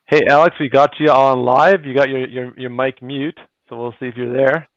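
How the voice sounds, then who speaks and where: A man hosts a livestream and chats in a relaxed, rambling way. His voice has a gravelly fry at mid pitch. The call audio is dull, lo-fi and dry. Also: moderate pace, no room sound. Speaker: host. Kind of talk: casual livestream chat. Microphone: video call.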